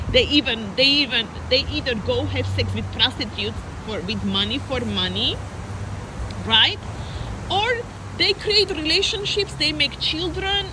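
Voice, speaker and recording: A woman from Armenia talking in an unscripted personal monologue, emphatic and repetitive, piling up lists as she speaks. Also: high-pitched. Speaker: vlogger. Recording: loud traffic noise outdoors.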